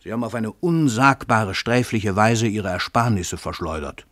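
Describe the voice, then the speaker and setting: Man acting a pompous, sharp-voiced celebrated crime writer. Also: on the low side, normal speed, lightly acted. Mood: menacing, haughty. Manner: grandiose, theatrical, commanding declamation. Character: pompous, cold-blooded world-famous male crime writer. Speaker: actor in a radio play. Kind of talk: German radio play acting.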